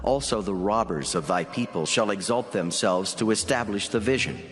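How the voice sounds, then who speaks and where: A man as a low-voiced heavenly messenger, vast reverb. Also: lightly acted. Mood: grave, prophetic. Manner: solemn, measured, declamatory. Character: solemn heavenly male messenger delivering prophecy. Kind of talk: dramatized scripture reading. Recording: long hall reverb under clear music. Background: music.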